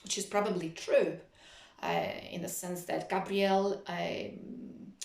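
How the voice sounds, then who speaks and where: A woman teaching literature in an explanatory lecture, speaking didactically with frequent hesitant pauses. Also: on the low side, fairly even intonation, vocal fry, darkish tone, echoey room.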